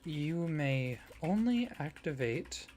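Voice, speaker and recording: A man speaking softly and warmly in an ASMR roleplay as a friendly card designer, gently enthusiastic. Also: a little high, sing-song, slow pace, a little hiss or hum. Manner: soft-spoken, gently enthusiastic. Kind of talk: soft-spoken ASMR roleplay.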